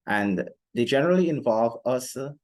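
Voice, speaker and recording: A young man presenting an explanatory podcast monologue in a measured, deliberate way with formal phrasing. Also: medium pitch, animated, darkish tone. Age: young adult. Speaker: student podcast host.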